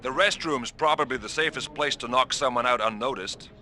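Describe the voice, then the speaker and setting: An actor playing a brisk, businesslike spy agency handler in a video game, delivering a scripted mission briefing. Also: male voice, medium pitch, sharp tone.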